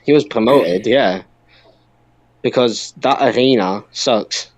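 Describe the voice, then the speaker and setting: A man's voice: medium pitch, slow, no echo. A man hosting a wrestling podcast, chatting casually and arguing with exclamations.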